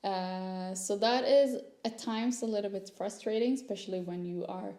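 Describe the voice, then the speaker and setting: A young woman from Sweden speaks English, in a relaxed, mellow voice of medium pitch. She tells personal stories in a chatty, candid vlog style. The room adds a noticeable echo around her words. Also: moderate pace. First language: Swedish. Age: young adult. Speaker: content creator. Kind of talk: casual personal vlog chat. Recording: roomy echo.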